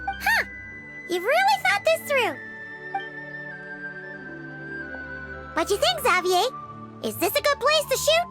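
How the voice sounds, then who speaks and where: An extremely high, childlike little fairy girl for a video game. She is excitable and curious, with very lively intonation. Loud music plays behind her. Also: moderate pace. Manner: childlike, refers to self in third person. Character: tiny excitable floating fairy girl companion, cheerful and curious.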